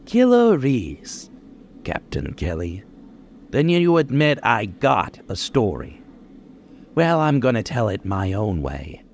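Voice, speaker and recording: A man's voice: lowish voice, huge pitch swings, slow pace, a little hiss or hum, full character voice. An actor in an old-time radio crime drama playing a cocky, bitter gunman with menacing, taunting, slangy tough talk. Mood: taunting, smug. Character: cocky bitter gunman confessing his life story.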